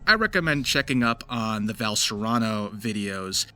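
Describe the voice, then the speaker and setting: A man's voice: lowish voice, animated, normal speed, pushed and bright. A man speaking as a voice actor, giving casual explanatory commentary on his craft. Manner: slips into gritty character demonstrations. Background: music behind the voice.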